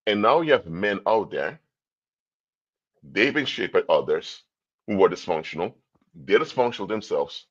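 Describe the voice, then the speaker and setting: A man's voice: deep voice, a bit bright, breathy edge, lo-fi sound. A man from Curaçao, giving a livestream talk in a lecturing tone full of rhetorical questions. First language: Papiamento. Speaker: commentator. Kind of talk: livestream commentary.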